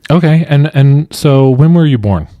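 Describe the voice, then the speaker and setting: A man's voice: on the high side, animated, moderate pace, no echo, muffled recording. A man hosting a podcast interview, earnest and friendly, often repeating words as he starts a question. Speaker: podcast host. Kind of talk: podcast interview about sobriety.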